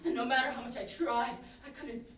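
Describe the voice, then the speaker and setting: A woman's voice: a little high, fairly even intonation, brisk, a loud crowd, lightly acted. A woman with a London accent playing a cheeky, joking young best friend in a staged reading, teasing and slangy with a mock-solemn streak. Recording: distant, echoey stage recording, noisy background.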